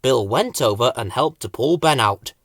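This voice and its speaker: A man narrating a children's story as an expressive audiobook storyteller. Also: mid-range voice, moderate pace, dry recording, studio quality.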